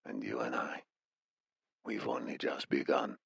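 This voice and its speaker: A man voicing a muscular, menacing Iranian interrogator in an audiobook, speaking softly with mock politeness in an Iranian accent. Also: very deep voice, big pitch swings, creaky, breathy edge, dry recording, clean studio sound, voice pushed down, exaggerated voice. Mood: mock-friendly menace. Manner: soft-spoken, slow, mock-polite menace.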